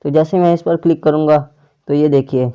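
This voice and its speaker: Mellow-voiced man giving an app tutorial. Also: a little high, fairly even intonation, a little fast, clear, resonant tone, highs cut off. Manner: step-by-step instructions addressed to viewers. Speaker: tech tutorial host. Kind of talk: casual screen-recording app tutorial.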